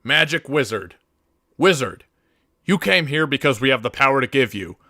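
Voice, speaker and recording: A man's voice: mid-range voice, expressive, moderate pace, pushed and bright, no echo, clean studio sound. A man doing a scripted reading of game monologues.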